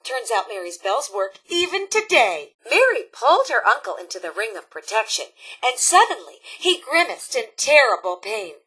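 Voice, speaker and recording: A woman's voice: fairly high pitch, big pitch swings, moderate pace, hardly any pauses, sharp tone, breathy edge, full character voice, low-quality recording. A woman voicing a cheerful, motherly robot scout leader in a video game, theatrical and folksy as a campfire storyteller. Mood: spooky, suspenseful.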